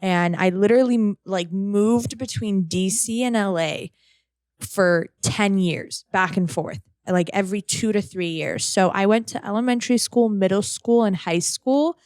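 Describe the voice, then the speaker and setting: A woman's voice: mid-range voice, measured pace, a little mellow, no echo, studio quality. A young woman, a podcast guest born in France, chatting casually and rambling loosely through her story. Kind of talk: casual chat.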